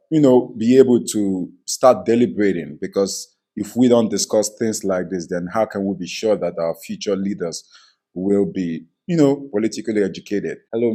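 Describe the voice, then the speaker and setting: A man's voice: sits low, dark tone. A man hosting a podcast in his studio, talking earnestly and rambling with many fillers. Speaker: podcast host. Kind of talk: podcast interview.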